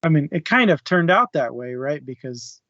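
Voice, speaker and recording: A man's voice: fairly high pitch, very animated, normal speed, pushed and bright, no room sound. A man co-hosting a casual book discussion, talking through his thoughts in a conversational way. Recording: dry, muffled online audio.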